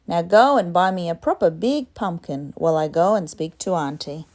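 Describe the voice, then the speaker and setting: A woman's voice: on the low side, darkish tone, lightly acted. A woman voicing a busy, bossy, particular older island woman in a storybook. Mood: firm, dismissive. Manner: emphatic, hurried commands.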